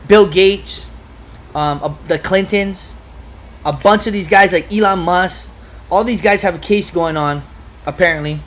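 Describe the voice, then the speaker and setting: A man from Hawaii talking in a casual podcast chat, excitable and profane, rambling from one point to the next. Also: sits high, a little slow, a little hiss or hum. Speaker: podcast guest.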